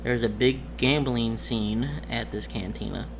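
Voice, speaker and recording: Guy game mastering a tabletop rpg, casual explaining, kinda halting and correcting himself, medium pitch, noisy home recording. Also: steady tone, gravelly.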